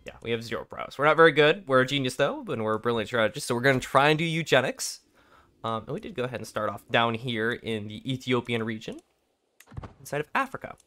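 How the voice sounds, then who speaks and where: A young man with a raspy voice from being sick, casually commenting on a strategy game, thinking aloud and clearing his throat often. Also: mid-range voice, quick pace, a bit bright. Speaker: gameplay commentator. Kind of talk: casual let's play commentary.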